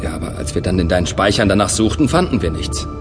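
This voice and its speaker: A man playing a space traveler in an audio drama, narrating in the first person and talking to his ship's computer in a calm, measured, inquisitive way. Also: sits low. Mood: curious. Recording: music underscore behind voice.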